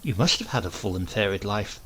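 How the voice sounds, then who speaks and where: A man's voice: fairly low pitch, sing-song, fairly quick, fairly bright tone, slightly rough, pitched below the performer's own voice, full character voice, low-quality recording. A man voicing a prim, dignified English woman in a comic story, formal and politely questioning. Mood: prim, softening.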